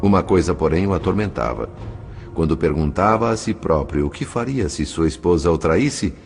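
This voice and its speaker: Male audiobook narrator, deep register, measured and formal literary storytelling, faint music underneath. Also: animated, vocal fry.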